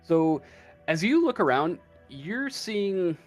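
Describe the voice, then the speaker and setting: A man running a tabletop game as game master, improvising the narration haltingly as he thinks aloud. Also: high voice, big pitch swings, faint music behind.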